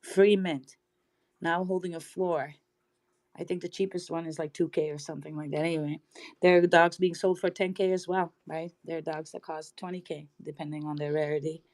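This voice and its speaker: A bubbly woman hosts a live online audio chat, her voice sitting a little low for a woman. She talks in a loose, chatty stream, laughing easily and trailing off before she finishes a thought. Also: fairly even intonation, moderate pace, a little mellow. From Netherlands. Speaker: host of a live crypto audio space.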